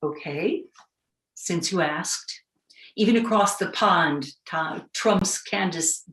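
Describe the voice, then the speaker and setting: A woman's voice: fairly low pitch, lightly acted. A woman playing a bossy, determined older woman in a staged reading of a play. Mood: confiding, bitter. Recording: roomy, echoey sound.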